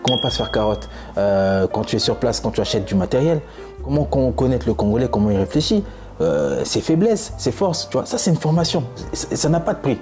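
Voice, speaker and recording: A man's voice: medium pitch, sing-song, a little fast, a little mellow, soft background music. A young French man talking casually to camera, emphatic and full of fillers and repeats, from France. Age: young adult. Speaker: vlogger.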